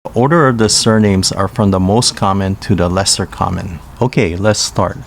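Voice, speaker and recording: A man narrating a language lesson in a careful, scripted way, reading pairs of names slowly. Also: deep voice, creaky, a little hiss or hum. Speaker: language teacher.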